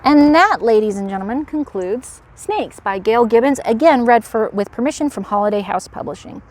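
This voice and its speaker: A woman presenting as a park educator to children, with an animated manner, explaining and putting questions to young viewers. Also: a little high, moderate pace. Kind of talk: animated educational read-aloud and animal demonstration.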